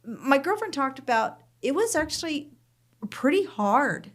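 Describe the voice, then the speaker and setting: A woman's voice: high-pitched, big pitch swings, unhurried. A woman with a Canadian accent hosting a podcast, interviewing in a chatty, informal way. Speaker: podcast host.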